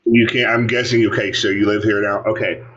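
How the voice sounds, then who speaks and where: A man's voice: lowish voice, flat delivery, fairly quick, a bit bright. A man on an online panel, confronting another speaker and swearing as he argues. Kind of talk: heated panel argument. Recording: dull audio with faint noise.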